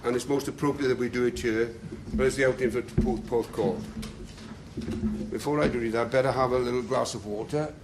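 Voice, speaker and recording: A man giving a talk to a local group and reading passages aloud with slow, dramatic pacing. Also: medium pitch, fairly even intonation, background noise. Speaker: speaker at a talk. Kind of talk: lecture with readings.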